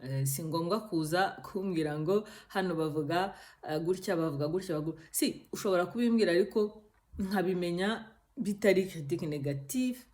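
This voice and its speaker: A woman, a Kinyarwanda speaker, hosting her own channel and talking earnestly to camera, pausing often with fillers. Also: lowish voice, a little mellow. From Rwanda. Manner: earnest, hesitant with frequent fillers. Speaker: YouTube channel host. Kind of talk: casual talk to camera.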